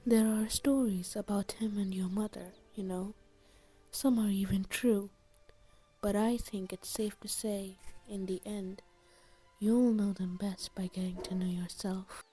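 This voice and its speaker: An actor playing a weary, gentle, resigned male teacher in an audio drama, speaking softly and hesitantly. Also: medium pitch, fairly even intonation, unhurried, slightly rough, soft background music, voice pushed up. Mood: gentle, wistful. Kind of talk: scripted audio drama acting.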